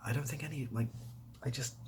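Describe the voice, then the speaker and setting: A man chatting casually and softly, often nearly whispering, in a rambling, self-deprecating way as he answers questions. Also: medium pitch, steady tone, brisk, a little hiss or hum. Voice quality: soft, breathy, often near whisper. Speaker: ASMR video creator. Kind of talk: softly spoken casual Q&A chat. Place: indoors next to a window.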